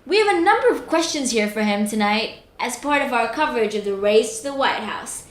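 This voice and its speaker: A woman's voice: on the high side, expressive, a little fast, close to an everyday voice. A woman playing a perky, earnest news interviewer in a comedic audio drama, asking polite broadcast-style questions. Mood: bright, admiring. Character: female TV news panelist, perky, earnest or starstruck. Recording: roomy echo, faint background noise.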